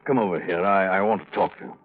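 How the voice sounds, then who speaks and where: An actor playing a curt, exacting theater director in a vintage radio drama, with a dry, cutting manner. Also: male voice, low voice, fast talker, phone-quality sound. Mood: uneasy, reluctant. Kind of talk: 1940s-50s radio suspense acting. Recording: band-limited old radio recording.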